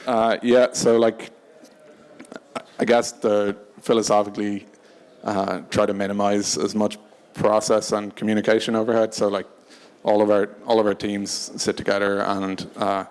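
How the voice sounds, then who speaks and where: A man speaking unscripted on a discussion panel, hesitant and halting, often restarting his phrases. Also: lowish voice, little pitch movement, darkish tone. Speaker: panelist from a tech company.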